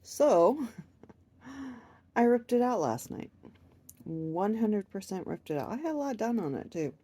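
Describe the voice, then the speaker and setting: A woman chatting casually to camera about her crochet projects, hoarse and stuffed up from a cold, rambling and laughing at herself. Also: a little high, sing-song, measured pace, warm tone, no echo. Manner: rambling, self-deprecating with frequent laughs. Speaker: crochet vlogger.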